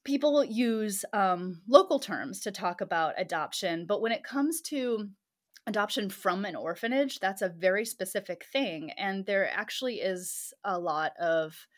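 A woman's voice: medium pitch, animated, measured pace. A woman who is a pastor and scholar, answering interview questions thoughtfully and often laughing lightly between phrases.

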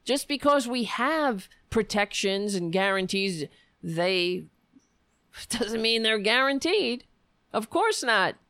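A woman hosting a solo political show, ranting sarcastically and going hoarse as she loses her voice. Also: a little high, lively intonation, slow, pushed and bright, no echo. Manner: ranting, sarcastic, scattered asides. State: losing her voice. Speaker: political podcast host.

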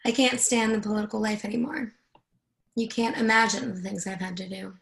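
A woman's voice: medium pitch, fairly even intonation, brisk, creaky, a bit bright. A woman playing a confident, jaded senator's wife in a screenplay reading, teasing, assertive and freely swearing. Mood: bitter, resolved. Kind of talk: dramatic screenplay table read.

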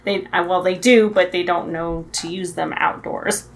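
A middle-aged woman, the host's mother, talking as a guest on a casual podcast, with measured, dry remarks. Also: lowish voice, fairly even intonation.

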